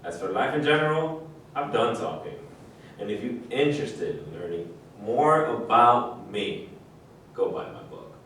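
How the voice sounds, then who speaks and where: Casting: male actor as retired ballplayer, lowish voice, laconic and guarded, stage monologue in a very echoey room. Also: big pitch swings, measured pace, fairly bright tone. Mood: guarded, understated. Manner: laconic, understated. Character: retired basketball player, modest, guarded, laconic. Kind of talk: theatrical monologue, staged reading. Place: live staged reading in a roomy space. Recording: very echoey room, distant mic, faint noise.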